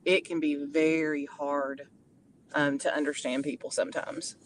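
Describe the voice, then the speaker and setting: A middle-aged woman with a Tennessee accent, chatting in an animated, storytelling way as a guest. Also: low voice, unhurried, a little hiss or hum. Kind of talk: casual chat.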